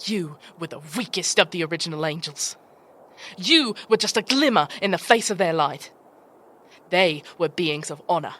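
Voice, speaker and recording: A woman's voice: lowish voice, expressive, normal speed, fairly bright tone. A woman playing a fierce, brash demon chieftain in a fantasy audio drama, with a swaggering, coarse manner. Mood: grave, accusing. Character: brash, taunting female demon chieftain, fierce war leader.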